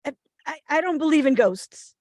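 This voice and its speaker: A woman's voice: high voice, animated, normal speed, a little mellow, dry recording, clean studio sound. A woman playing a frightened, defensive FBI agent in a scripted table read, nervous, stammering and trying to stay official. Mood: wary, insistent.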